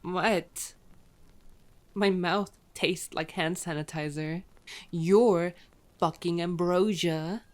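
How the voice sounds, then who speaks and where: A playful vampire woman, acted out by a female performer in an ASMR audio roleplay. Her voice sits a little on the low side. She is sardonic and flirty, bantering with cocky, teasing hunger. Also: steady tone, takes it slowly, long pauses. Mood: wry banter.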